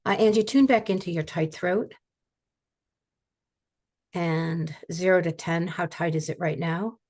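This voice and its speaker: An older woman leading a guided tapping session over a video call, speaking slowly and calmly with phrases for her client to repeat. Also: lowish voice. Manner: slow, calm, repeats phrases for client to echo. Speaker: EFT tapping practitioner. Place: home office.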